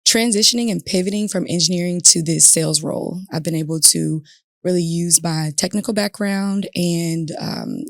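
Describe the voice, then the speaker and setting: A woman's voice: fairly low pitch, dry recording, studio quality. A woman speaking as a guest in a casual podcast conversation, earnest and sprinkled with ums and uhs. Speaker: guest, business development manager.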